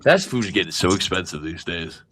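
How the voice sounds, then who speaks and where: A low-voiced man trades crude, sardonic jabs in casual stream chat, his pitch swinging widely. The sound comes with a clearly noisy background. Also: lively intonation, brisk. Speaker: stream guest.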